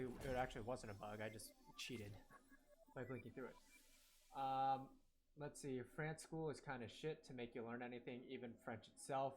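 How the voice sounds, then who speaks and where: A young man whose first language was Korean, chatting casually while live-streaming a game, rambling with lots of fillers and self-corrections. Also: mid-range voice. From United States.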